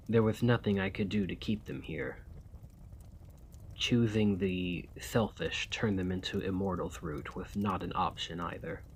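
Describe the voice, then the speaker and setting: Man playing a deep-voiced, slow, weary gentleman vampire. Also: a little hiss or hum. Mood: weary, pleading. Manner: formal, old-fashioned, measured diction. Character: weary, courtly, centuries-old male vampire, former soldier, desperate. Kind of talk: ASMR roleplay acting.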